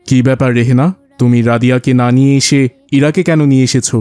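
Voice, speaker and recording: A man's voice: mid-range voice, steady tone, quick pace, mellow, clear, resonant tone, no room sound, natural voice. A man playing a mild, hospitable father in a cartoon drama, courteous and agreeable. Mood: puzzled. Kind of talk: cartoon dialogue.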